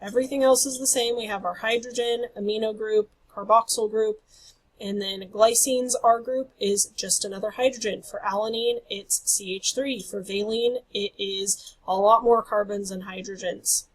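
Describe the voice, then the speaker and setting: A woman's voice: medium pitch, steady tone, moderate pace, rough recording. A woman instructor losing her voice, giving a recorded lecture over slides in an explanatory way. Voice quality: husky. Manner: explanatory, apologetic about her voice.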